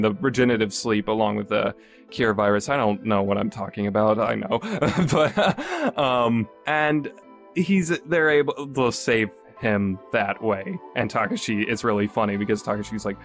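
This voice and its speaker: A man talks through a game's ending in an unscripted, meandering way. He keeps restarting his sentences and admits when he loses the thread. Faint music plays behind him. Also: medium pitch. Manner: rambling, many restarts and fillers, self-deprecating. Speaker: podcast host reviewing a visual novel. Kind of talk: casual unscripted review.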